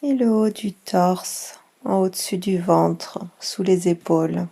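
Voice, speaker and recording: A woman leading a guided yoga nidra session for children, speaking softly with long pauses between short phrases. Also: lowish voice, vocal fry, husky. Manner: slow, soft guiding voice with long pauses.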